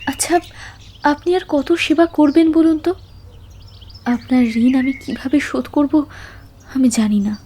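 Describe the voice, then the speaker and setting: A woman voicing a sincere, playfully bossy young woman in an animated love story. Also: sits high, moderate pace, long pauses, lightly acted. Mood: warm, grateful. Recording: studio voice under loud background music. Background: music, guitar.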